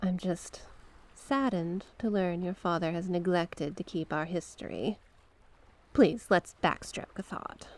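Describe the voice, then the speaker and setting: Mysterious sea witch, played by a woman, ASMR roleplay. Slightly low, very slow delivery; genteel, sly, coaxing. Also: darkish tone, lightly acted. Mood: smooth, courteous. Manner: coaxing, genteel, terms of endearment.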